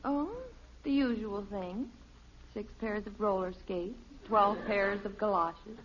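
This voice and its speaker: A woman's voice: medium pitch, takes it slowly, close to an everyday voice. An actress playing a sensible middle-aged American wife and mother, dryly chiding and patient, in a 1950s radio sitcom. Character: sensible, gently scolding suburban wife and mother. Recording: muffled, faintly noisy old radio recording.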